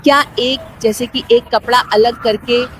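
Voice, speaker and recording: A woman voicing a dutiful, put-upon young daughter-in-law, polite but quietly exasperated, in a cartoon story. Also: fairly high pitch, fairly even intonation, a little slow, slight background noise, close to an everyday voice. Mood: worried.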